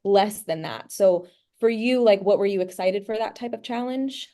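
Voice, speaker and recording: A woman's voice: mid-range voice, normal speed, mellow. A woman interviewer asking questions in a casual, upbeat and encouraging manner.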